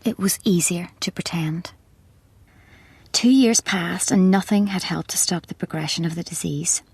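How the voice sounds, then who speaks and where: Sombre woman narrator, creaky and husky, very dry close sound, reflective radio drama. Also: lowish voice, normal speed, a little mellow, no room sound. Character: sombre young woman looking back on hard years.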